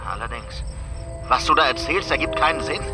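A hard-nosed friend snaps out short, commanding instructions, as an actor plays him in a radio drama. His voice sits at an average pitch, with loud music running behind him. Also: fairly quick, natural voice. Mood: curt. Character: blunt male friend on the phone.

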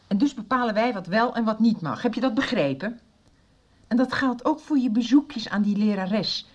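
A woman's voice: a little high, animated, moderate pace. A woman playing a strict, exasperated mother in a radio soap, scolding and emphatic. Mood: stern, exasperated. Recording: muffled 1990s radio recording.

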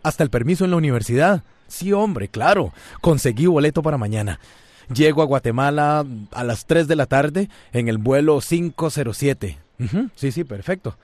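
A man's voice: on the high side, animated, moderate pace, darkish tone, no echo, lightly acted. Exuberant, chatty Costa Rican man in an acted role. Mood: excited. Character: excited, ambitious professional man, buoyant and friendly. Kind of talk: radio soap opera acting.

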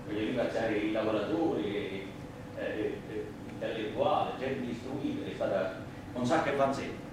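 An actor playing a plain-spoken, indignant immigrant worker on trial, in simple, emphatic theatrical oratory. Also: male voice, fairly low pitch, lightly acted. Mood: earnest, solemn. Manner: simple, emphatic, deliberate working-class oratory. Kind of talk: theatrical courtroom monologue. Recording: echoey, distant stage recording, clear noise.

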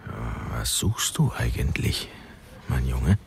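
An actor in a radio play playing a learned, sceptical, fatherly older man with a dry, unhurried way of explaining. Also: a bass voice, very animated, gravelly, a little mellow, breathy edge, heavily acted. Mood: gently probing.

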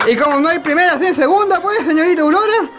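A man's voice: very high-pitched, quick pace, loud music behind the voice. A man playing a flirtatious Chilean country cowboy declaiming singsong courtship verses in a radio play, with a Chilean accent. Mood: gallant, festive. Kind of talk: festive folk verse recitation in a radio play.

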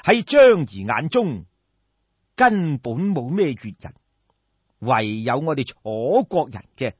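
A man's voice: mid-range voice, very animated, takes it slowly, breathy edge, pitched below the performer's own voice, heavily acted. A man voicing an eloquent, confident envoy who persuades a king with grand rhetorical questions in a storytelling performance. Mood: confident, boastful. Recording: echoey room, slightly dull sound.

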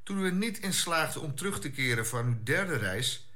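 A man playing a formal, measured space-agency commander in a science fiction radio play. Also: a little high, big pitch swings, sharp tone. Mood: formal, polite. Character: formal male space-agency commander questioning returned astronauts. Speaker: actor in a science fiction audio drama.

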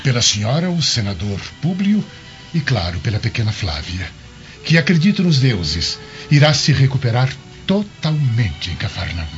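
An actor playing a self-assured, gallant Roman governor with pompous theatrical delivery. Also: male voice, medium pitch, sing-song, normal speed. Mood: gallant, pleased. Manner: smooth-tongued, pompous, theatrical. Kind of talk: radio drama acting. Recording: dull lo-fi radio drama, music underneath.

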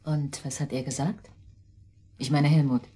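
A woman's voice: low voice, flat delivery, a little slow, dark tone, breathy edge. A woman playing a cool, scheming wife in a radio drama, probing with dry, decisive remarks. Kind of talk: naturalistic German radio drama acting.

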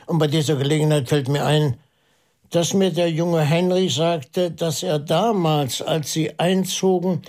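A man acting a shrewd, pensive priest sleuth in a German radio drama, deliberate and probing, with a husky voice. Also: a little high. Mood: probing, confident. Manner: deliberate, probing, dropping into hushed asides.

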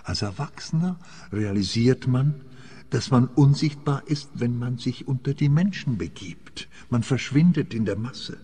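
An actor with a Swiss accent playing a weary, melancholy man on the run in a radio play, husky, halting and reflective. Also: medium pitch, animated, mellow. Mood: bitterly amused. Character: weary, melancholy, brooding male fugitive client. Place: scene set in echoing church. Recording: long church-like reverb.

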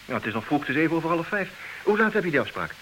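An actor playing a talkative betrayed husband in a Dutch comic radio play, theatrical and wry, wavering between agitation and resignation. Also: male voice, a little high, very animated, racing, fairly bright tone, low-quality recording. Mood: feigned casualness. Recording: hissy old radio play recording.

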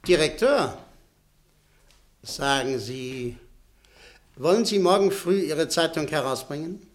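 A man acting a smooth, calculating middle-aged businessman in a German radio play, coolly polite. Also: fairly high pitch, expressive, slow pace. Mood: calm. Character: scheming middle-aged male press magnate. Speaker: businessman Buscan.

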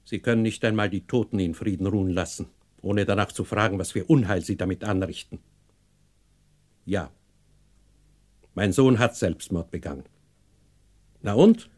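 A man acting a stern, proud, unforgiving older patriarch in a German radio play, cold, sarcastic and measured. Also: lowish voice, darkish tone, natural voice. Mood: sarcastic, indignant.

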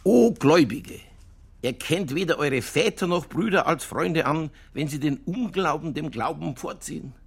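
An earthy Bavarian police detective in a radio crime drama. His voice is creaky and husky, and he holds forth with stubborn, provocative opinions in broad colloquial speech. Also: fairly high pitch, expressive, normal speed, exaggerated voice. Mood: declaiming, insistent.

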